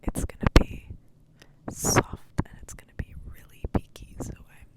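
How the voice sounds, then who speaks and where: A young woman whispering an ASMR chat, soft and self-conscious, rambling and giggling as she paints her nails close to a handheld mic. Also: a little slow, poor audio. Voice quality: deep voice with an odd cadence. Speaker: vlogger. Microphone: handheld mic held close.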